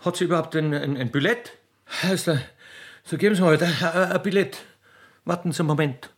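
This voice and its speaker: A man voicing a grumpy, officious young country railway clerk girl with an Austrian accent, halting and cheeky, in a comic radio play. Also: a little high, voice pushed up, lightly acted. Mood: sullen. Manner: halting, stammering, pedantic, cheeky.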